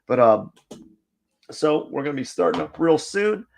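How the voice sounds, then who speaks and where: Raspy-voiced man chatting while drawing. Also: fairly high pitch, lively intonation, normal speed. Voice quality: raspy, cracky voice. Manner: rambling, self-correcting, thinks aloud. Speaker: art live streamer. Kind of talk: casual live stream chat while drawing.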